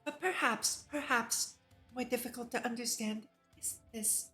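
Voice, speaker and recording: A woman's voice: fairly high pitch, unhurried, sharp tone, soft background music. A woman streamer reading a visual novel aloud and commenting casually as an enthusiastic fan. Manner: enthusiastic, giggly fan reactions between read passages. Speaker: VTuber streamer.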